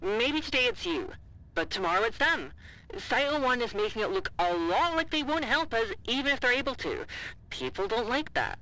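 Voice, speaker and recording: Earnest research-site employee played by a woman: bright tone, very mobile pitch, casual and hesitant, podcast drama. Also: very animated, normal speed, exaggerated voice, poor audio. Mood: concerned. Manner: hesitant, informal. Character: earnest, nervous site worker talking over a comms link. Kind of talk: audio drama acting, casual and naturalistic.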